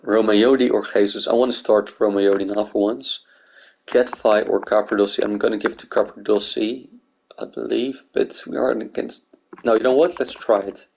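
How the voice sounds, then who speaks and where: A man's voice: lowish voice, fairly even intonation, moderate pace, gravelly, a little mellow, slight background noise, low-quality recording. A man from Greece making a gaming video, thinking aloud in casual commentary.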